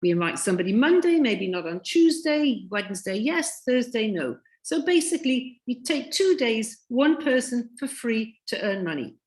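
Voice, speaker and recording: A woman with a slightly high voice pitches eagerly over a video call, hammering home each point. Her voice is a bit croaky, and she keeps repeating herself for emphasis. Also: big pitch swings, normal speed, hardly any pauses, a little mellow. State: croaky from illness. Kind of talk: sales pitch.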